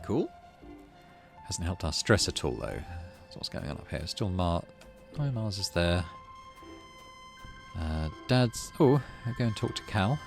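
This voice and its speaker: Casting: male let's play host, lowish pitch, animated delivery, storytelling plus casual remarks. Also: a little slow, creaky, pauses often, music in the background. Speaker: gaming channel host. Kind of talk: let's play narration, reading game text with casual commentary.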